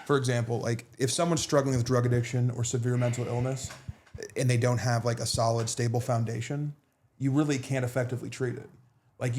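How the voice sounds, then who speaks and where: Fast-talking casual man, podcast co-host. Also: mid-range voice, darkish tone. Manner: fast, casual, lots of fillers.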